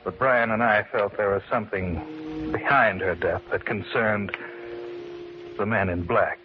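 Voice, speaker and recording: A man's voice: lowish voice, measured pace, natural voice. An actor playing an earnest, uneasy man who narrates and acts in an old-time radio mystery. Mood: grave narration. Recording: muffled, very hissy vintage radio recording.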